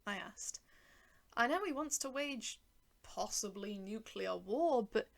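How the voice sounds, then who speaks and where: Female storyteller, mid-pitched, noticeable vocal fry, sardonic, first-person narration with dialogue. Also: expressive, takes it slowly, a bit bright. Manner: wry, sardonic. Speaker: writer and narrator.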